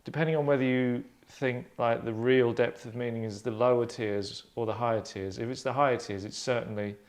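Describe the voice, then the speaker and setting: A man's voice: mid-range voice, steady tone, fairly quick, darkish tone. A middle-aged man, a scriptwriter, narrating and casually explaining his work in an earnest, rambling way. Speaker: scriptwriter reading stage directions. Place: furnished room indoors.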